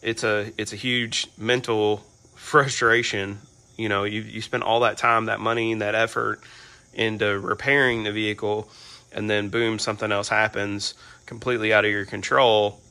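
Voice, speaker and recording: A hoarse man hosting a garage vlog, chatting casually with lots of fillers while filming on a phone in his home garage. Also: lowish voice, moderate pace. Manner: relaxed, filler-heavy rambling with frequent "um" and "you know". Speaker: garage channel host. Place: large home garage with car bays.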